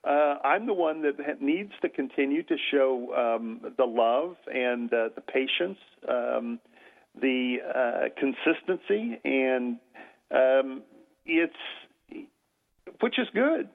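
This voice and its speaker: An older man speaks loudly as a guest on a radio talk show. He hesitates a lot, with fillers and restarts. His voice sits at an ordinary pitch, and the sound is tinny and phone-like. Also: animated, vocal fry. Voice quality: loud voice. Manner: halting, many fillers and restarts.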